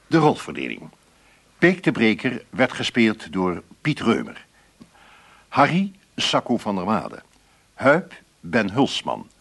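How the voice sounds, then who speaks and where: A man's voice: lowish voice, husky. A man reading scripted closing credits as a radio announcer.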